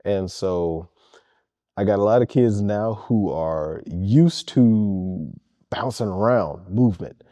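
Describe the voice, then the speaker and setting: Earnest male coach in an interview; low, dark voice, dry close sound. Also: slow, no room sound. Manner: earnest and emphatic, repeats key points. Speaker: head basketball coach. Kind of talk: interview answers.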